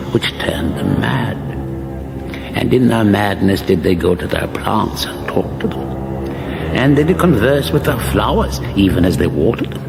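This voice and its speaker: A portentous chronicler in a radio fantasy parody. His deep voice is heavily creaky and rises and falls in solemn scriptural cadences over music. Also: heavily acted. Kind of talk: mock-biblical scripted narration.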